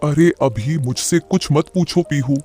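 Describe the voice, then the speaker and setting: A man voicing a defeated, jobless father bird in an animated children's story, grumbling and resigned. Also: fairly high pitch, measured pace, faint music behind. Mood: bitter, resigned.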